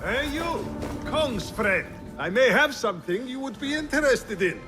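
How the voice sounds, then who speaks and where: An actor playing a sly, self-assured informant in a video game, with an insinuating, bargaining manner. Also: male voice, very high voice, big pitch swings, a little slow, over loud music, heavily acted. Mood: sly, wheedling. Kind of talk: video game cutscene acting.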